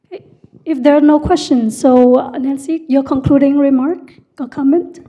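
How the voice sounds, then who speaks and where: A woman's voice: high-pitched, steady tone, normal speed, dark tone. A woman moderating a panel, brief and polite.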